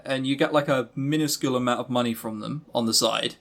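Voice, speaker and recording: A man's voice: mid-range voice, fast, gravelly, a bit bright. A British man chatting casually as a podcast co-host, with a sarcastic, teasing manner. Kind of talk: casual chat about games.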